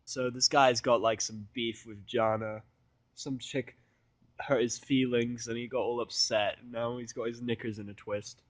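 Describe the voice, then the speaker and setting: A man's voice: mid-range voice, little pitch movement, unhurried, no room sound. A man narrating fan fiction in the first person, with irreverent, sweary casual asides.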